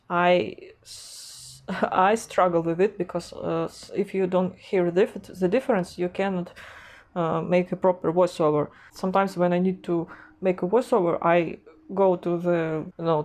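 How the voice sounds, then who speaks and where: A woman's voice: fairly low pitch, darkish tone. A young woman with a Russian accent talking casually to camera from a room at home, hesitant, with many fillers and restarts, laughing at herself. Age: young adult. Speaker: artist and animation vlogger. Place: home room with a gaming chair, indoors.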